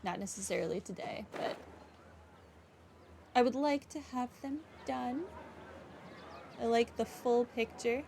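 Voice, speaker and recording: A woman's voice: a little high, animated, unhurried, gravelly, pauses often, slight background noise. A woman giving casual playthrough commentary on a video game, musing and thinking aloud. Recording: loud game music behind voice. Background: game music.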